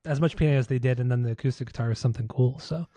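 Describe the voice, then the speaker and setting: A man's voice: mid-range voice, rapid-fire, dark tone, no echo. An American man co-hosting a podcast, chatting casually about music in an informal, slangy, sweary way. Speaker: podcast co-host.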